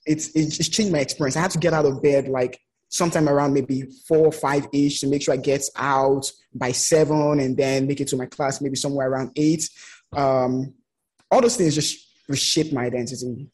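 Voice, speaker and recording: A man with a raspy edge to his voice and a somewhat raised pitch speaks as an interview guest. He tells personal stories in a conversational way, slipping into quoted remarks to show what people said. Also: moderate pace. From outside the United States. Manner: animated retelling with quoted remarks.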